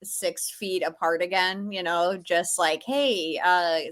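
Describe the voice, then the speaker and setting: A woman co-hosting a podcast, telling quick, lively stories in casual chat. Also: lowish voice. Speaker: podcast co-host.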